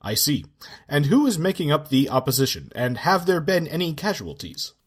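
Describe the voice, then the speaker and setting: A man's voice: a little high, sing-song, normal speed, slightly rough. A man playing a pompous, alarmist cable news anchor in a parody bulletin for radio drama. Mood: earnest. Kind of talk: parody breaking-news delivery.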